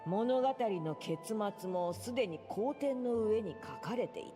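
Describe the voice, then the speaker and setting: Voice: medium pitch, animated, moderate pace, close to an everyday voice. A performer voicing an ancient, cryptic prophet in a storybook game tale, speaking in solemn, archaic pronouncements. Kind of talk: theatrical storybook game dialogue. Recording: long hall reverb, music behind.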